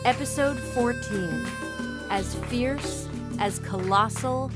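Slow female announcer over loud music. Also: on the high side. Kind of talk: scripted title announcement.

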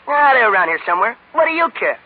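A man's voice: very high voice, very animated, quick pace, husky, full character voice. An actor playing a cocky, tough-talking boy with slangy wise-guy talk, in 1930s radio style. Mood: cocky, sneering. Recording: muffled 1930s radio broadcast.

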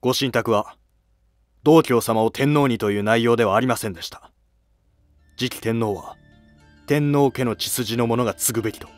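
A man's voice: mid-range voice, moderate pace, pauses often, no room sound, soft background music, lightly acted. A man playing an honest, steadfast court envoy in a historical drama, formal and resolute.

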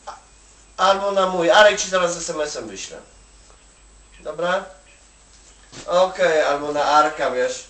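A man from Poland with a fairly high voice talks casually, as if on a phone call. He rambles colloquially, adding little "you know" tags as he goes. The sound is very echoey and he is some distance from the microphone. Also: animated, a little slow, pauses often, a bit bright. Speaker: Polish migrant worker advising an acquaintance. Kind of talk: casual one-sided phone chat. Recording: echoey room, distant from the mic.

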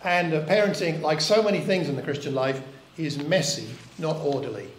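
An older man delivers a sermon from the front of a church, earnest and reflective, leaning on rhetorical questions. His voice sits a little high for a man. The room is echoey, with a faint hum of ambience behind him. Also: fairly quick. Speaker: preacher. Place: church hall.

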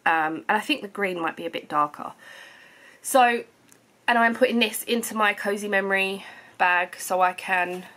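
Home vlogger, thirties, bright, chatty, slightly coldy voice. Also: female voice, mid-range voice. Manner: chatty, rambling, lots of ums and restarts. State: slightly hoarse from a cold. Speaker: knitting vlogger. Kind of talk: casual chat to camera. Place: living room at home.

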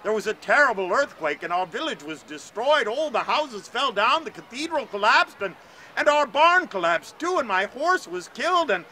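A man's voice: very high voice, sing-song, normal speed, bright, forceful tone, a little hiss or hum, voice pushed up, full character voice. A man voicing a frightened young male villager who pleads and stammers in a storybook tale. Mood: pleading.

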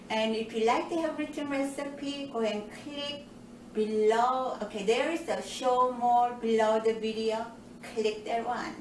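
Older lady, cooking show in her kitchen, talks slow and cheerful, roomy far-off sound. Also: fairly high pitch, darkish tone, a little hiss or hum. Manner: cheerful, explains step by step with nutrition asides. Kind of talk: home cooking demonstration. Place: home kitchen indoors. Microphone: far camera.

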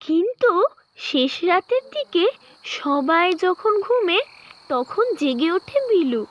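A woman narrating a children's cartoon story in an expressive storytelling manner. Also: very high voice, slow pace, muffled recording.

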